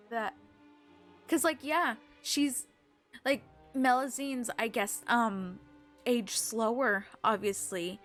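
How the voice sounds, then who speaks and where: A woman livestreamer chatting casually with viewers, halting and emotional in a gushing way. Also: a little high, a bit bright. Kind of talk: casual live game stream chat, recapping to viewers.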